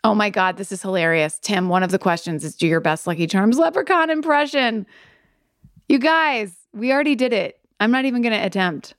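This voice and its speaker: An enthusiastic woman in her forties co-hosts a podcast in easy, casual chat. She laughs readily and reacts warmly to her partner. Her mid-range voice sounds dry and close. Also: medium pitch, lively intonation, brisk, no echo. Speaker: podcast co-host, actress.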